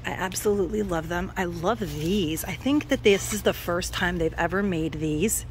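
Low-pitched gushing woman vlogger. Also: moderate pace, slight background noise. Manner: gushing, enthusiastic about products. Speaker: shopping vlogger. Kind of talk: casual store walk-through chat. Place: inside a large retail store. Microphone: handheld phone.

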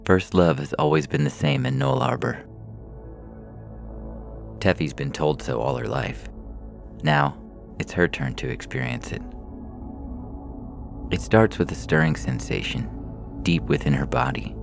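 A man's voice: a bass voice, big pitch swings, a little slow, vocal fry, long pauses, soft background music. A man reading a science fiction story aloud as a scripted narration.

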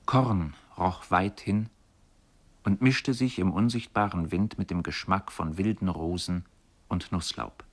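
Radio drama narrator: deep male voice, contemplative, measured literary recitation. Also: full character voice. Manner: measured, lyrical, solemn. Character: contemplative male narrator recalling river boyhood.

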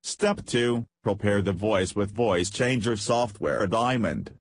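Husky, low-voiced man narrating a tutorial. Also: slow pace. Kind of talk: scripted software tutorial narration.

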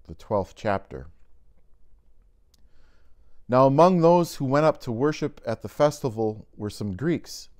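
A middle-aged man, a pastor, reading scripture and a devotional slowly and carefully, indoors by a fireplace. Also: mid-range voice, a little mellow. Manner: slow, measured reading aloud from a binder. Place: indoors in a home-style room by a fireplace.

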